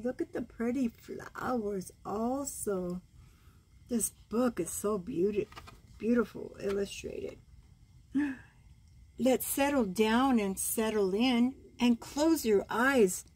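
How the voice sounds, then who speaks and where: An older woman in her sixties reads a children's bedtime story at home. Her voice sits fairly high for a woman and has a husky, worn grain. She goes very slowly, expressive and gentle, adding cooing comments on the pictures. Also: rough recording. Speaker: grandmother reading storybooks to children. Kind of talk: read-aloud bedtime story with side comments. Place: small room at home, indoors.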